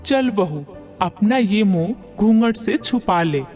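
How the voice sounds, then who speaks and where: A man's voice: high voice, expressive, slow pace, a little mellow, faint music behind. A man voicing a proud, bossy middle-aged village mother-in-law in a Hindi cartoon story. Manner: boastful, scolding, rustic village talk.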